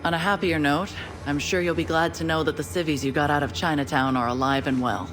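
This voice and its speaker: A woman playing a steady, candid agent and acting commander in a game cutscene, briefing in a matter-of-fact, blunt way. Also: deep voice, a little fast, noisy background, natural voice. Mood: encouraging. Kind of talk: scripted game cutscene dialogue. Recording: music behind the voice.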